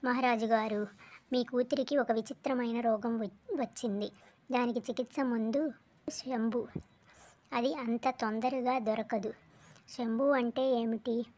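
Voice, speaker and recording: A woman playing a wise, solemn royal guru in a cartoon story, explaining things in a measured way. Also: a little high, darkish tone. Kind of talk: acted cartoon story dialogue.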